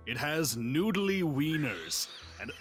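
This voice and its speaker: A man's voice: a little high, very animated, slow, soft background music. A man chatting casually as a guest player in a party game. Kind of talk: casual chat, hesitant answering.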